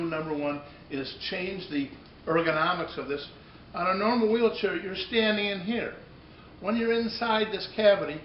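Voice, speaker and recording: A middle-aged or older man giving an unscripted product demonstration, often repeating and rephrasing himself in a halting way. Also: on the high side. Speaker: company presenter demonstrating a product. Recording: echoey room, dull muffled audio, noticeable background noise.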